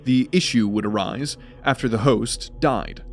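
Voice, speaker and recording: A man narrating a scripted fantasy audio drama in a measured storytelling manner. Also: medium pitch. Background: music.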